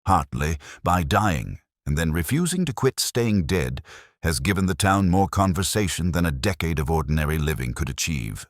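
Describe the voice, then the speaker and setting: A man voicing a mild, shrewd police inspector in a detective story, speaking gently, deliberately and formally. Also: extremely low pitch, expressive, no echo, clean studio sound. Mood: wry, thoughtful.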